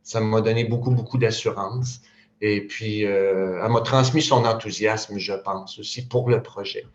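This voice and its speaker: A man's voice: on the low side, fairly even intonation, unhurried. A man of sixty-five with a Quebec French accent, speaking casually at a community panel, hesitant with many fillers and restarts. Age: 60s. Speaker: panel speaker, retired psychoeducator. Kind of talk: casual public talk. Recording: roomy, dull lo-fi sound.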